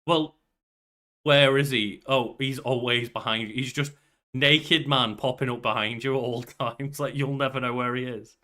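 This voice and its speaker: Bright-voiced man, rambling casually. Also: medium pitch, steady tone, moderate pace. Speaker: podcast co-host. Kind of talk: casual banter.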